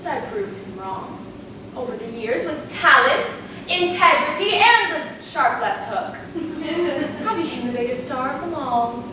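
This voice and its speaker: A woman's voice: high voice, lively intonation, unhurried. A woman playing an imperious, vain Hollywood diva in a stage farce, with haughty, sarcastic, theatrical delivery. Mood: indignant, boastful. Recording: echoey, noisy stage recording from far off.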